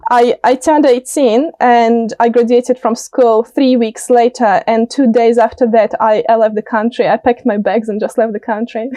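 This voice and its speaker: A woman's voice: a little high, steady tone, normal speed, warm tone, no room sound. A woman in her late twenties from Russia, with a Russian accent, giving conversational interview answers and often searching for words. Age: late 20s. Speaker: interview guest, political candidate.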